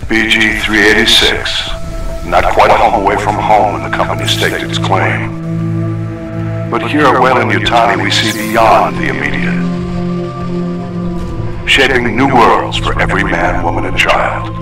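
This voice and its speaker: A man playing a grand, assured corporate announcer in a game, measured, solemn and polished. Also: fairly low pitch, lightly acted. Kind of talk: corporate promo narration. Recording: echoey announcement over music.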